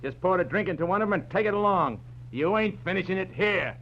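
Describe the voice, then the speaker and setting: An actor playing a gruff, slangy bartender in a 1940s radio drama. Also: male voice, high-pitched, expressive, fast. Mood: threatening. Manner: slangy, blunt. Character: gruff, tough male bartender of a dive. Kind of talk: 1940s radio detective acting. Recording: dull old 1940s radio recording.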